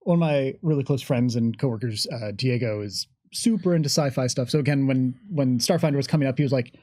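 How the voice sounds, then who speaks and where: A man's voice: mid-range voice, brisk, a little mellow, no room sound, clean studio sound. A man chatting casually as a panelist. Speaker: game developer on a panel. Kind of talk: casual chat.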